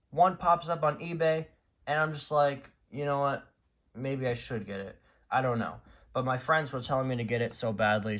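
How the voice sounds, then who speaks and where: A man's voice: mid-range voice, bright, forceful tone. A man chatting informally and off the cuff as he presents a hobby collection, rambling and easily exasperated. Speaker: hobbyist video creator presenting a radio collection. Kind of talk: casual unscripted show-and-tell chat.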